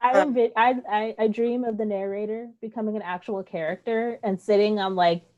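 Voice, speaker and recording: A woman's voice: mid-range voice, fairly even intonation, normal speed, darkish tone, dull audio. A woman chatting casually as a cast member in a Q&A, rambling and self-reflective.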